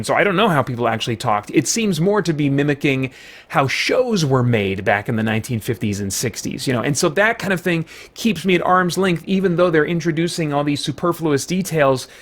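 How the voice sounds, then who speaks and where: A man with a slightly high voice delivers a casual spoken film review. He is conversational and rambles a bit, qualifying his points as he works through his explanation. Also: a bit bright.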